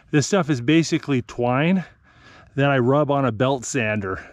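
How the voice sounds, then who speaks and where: A man in his thirties hosting an outdoor vlog, explaining bushcraft skills in a relaxed, practical way while out in a forest. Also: mid-range voice. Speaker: outdoor channel host. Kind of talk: casual outdoor vlog narration. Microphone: action camera, worn on the body or close up.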